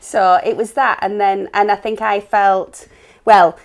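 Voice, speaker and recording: A woman's voice: medium pitch, steady tone, measured pace, creaky. A woman in her forties, co-author and workshop host, chatting casually on a live stream and often ending with tag questions. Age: 40s.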